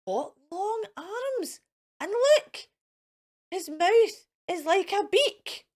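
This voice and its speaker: A woman's voice: very high voice, animated, very slow, darkish tone, pitched above the performer's own voice, exaggerated voice. Woman as dramatic, swooping mother Tyrannosaurus. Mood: disgusted, horrified. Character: dim-witted, doting then horrified mother Tyrannosaurus.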